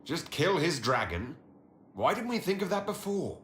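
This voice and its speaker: An actor playing a steady, dryly sardonic heroic commander in a fantasy game. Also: male voice, on the high side, moderate pace, slight background noise. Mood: skeptical, wry. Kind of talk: dramatic fantasy game acting. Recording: echoey, music behind.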